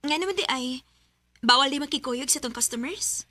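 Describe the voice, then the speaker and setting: A woman playing a young bar hostess who is hesitant and torn, speaking softly. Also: high voice, fairly quick, no room sound.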